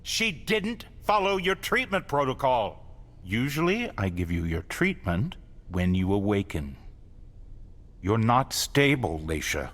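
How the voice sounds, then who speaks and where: A man's voice: mid-range voice, sing-song, measured pace, fairly bright tone. A man playing a grave, controlling vampire caretaker in a dramatic game cutscene, explaining things with solemn insistence. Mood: stern, insistent. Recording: faint music behind the voice.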